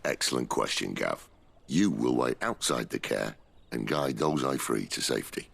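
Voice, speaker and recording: A man playing a wry, seasoned rebel leader in a video game, with a gruff, gravelly voice and an assured drawl. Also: very deep voice, animated, normal speed, a bit bright, heavily acted. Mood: wry authority. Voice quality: gravelly, husky. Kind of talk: dramatic video game cutscene acting.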